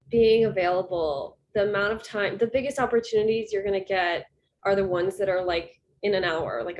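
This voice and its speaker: A woman's voice: mid-range voice, a little hiss or hum. A woman who works as a music producer, talking earnestly and rambling on a video call panel, often acting out quoted conversations.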